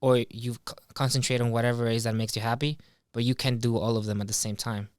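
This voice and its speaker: Young man, mid-pitched, very dry sound. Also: little pitch movement, fast, clear, resonant tone, no echo. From Ecuador. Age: young adult. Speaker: podcast guest. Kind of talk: casual chat, personal reflection. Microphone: close boom-arm studio microphone.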